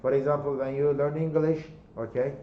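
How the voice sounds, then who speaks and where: A man's voice: fairly high pitch, little pitch movement, fairly quick. A man with a Turkish accent giving a university lecture, repeating himself and checking in with "okay?" and "right?". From Turkey. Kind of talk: classroom lecture. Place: classroom. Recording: dull, echoey lecture hall recording.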